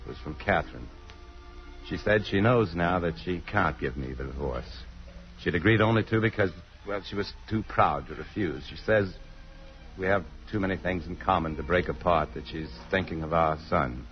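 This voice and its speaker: A man's voice: low voice, normal speed, soft background music, lightly acted. An actor in an old-time American radio drama, playing a restless middle-aged married businessman who is earnestly in love. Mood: earnest, troubled. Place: radio theatre stage. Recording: muffled, hissy vintage radio recording.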